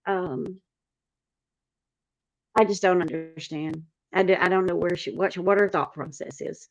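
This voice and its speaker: A woman's voice: lowish voice, fairly even intonation, measured pace, vocal fry, lo-fi sound. A woman chatting casually as a panel host, reading out and responding to viewer comments.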